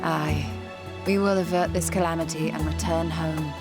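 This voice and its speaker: A woman voicing a thoughtful, resolute scholar in a fantasy game, measured, formal and eloquent. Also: deep voice, fairly even intonation, close to an everyday voice. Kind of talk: dramatic fantasy game cutscene acting. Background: music.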